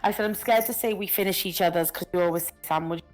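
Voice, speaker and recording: A woman with a Welsh accent co-hosting a live stream, chatting casually in halting starts with easy laughter. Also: fairly low pitch, darkish tone, soft background music. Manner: halting, restarts, laughs easily. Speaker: co-host.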